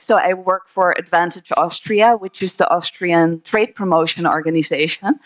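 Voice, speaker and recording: A middle-aged woman from the Netherlands, giving a friendly, hesitant conference talk on a stage microphone, with many filler pauses. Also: lowish voice. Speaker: conference speaker. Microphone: handheld microphone.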